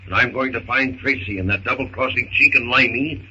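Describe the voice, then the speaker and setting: A ruthless ship's captain in an old radio serial. His voice is sharp and very forceful, with sardonic, vengeful menace. The sound is muffled 1930s radio. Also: mid-range voice, brisk, slight background noise. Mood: grim, menacing. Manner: hard-bitten, sardonic tough talk.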